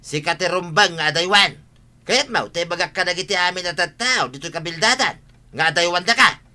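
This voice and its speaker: A man's voice: high-pitched, fairly quick, bright, forceful tone. A man acting an exasperated village husband in an Ilocano comedy radio drama, pleading and exclaiming, with an Ilocano accent. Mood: scolding.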